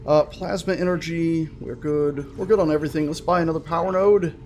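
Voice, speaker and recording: A man giving casual, chatty let's-play commentary on a horror game, full of jokey asides and an excitable, jumpy manner. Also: on the high side, brisk, a little hiss or hum. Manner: jokey, chatty asides to himself and the game's characters; jumpy, excitable, prone to swearing shouts. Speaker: gaming video host.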